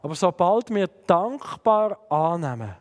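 Swiss German man in his thirties, earnest sermon delivery, voice a bit high, long reverb. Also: sing-song, a little slow. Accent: Swiss German, Sense district of Fribourg. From Switzerland. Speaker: preacher.

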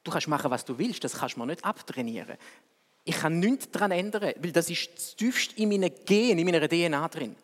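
A man with a Swiss German accent, preaching a sermon in a conversational, wry way that swings between quick and slow delivery. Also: a little high, expressive. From Switzerland. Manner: conversational, wry, speeds up and slows down for emphasis. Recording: long reverb of a hall.

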